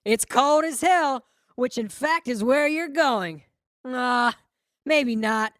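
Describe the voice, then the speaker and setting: A woman voicing a vengeful, gleefully cruel boy in an audiobook, with a taunting, drawn-out delivery. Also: high voice, dry recording, studio quality. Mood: gleeful mockery. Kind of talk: audiobook character acting.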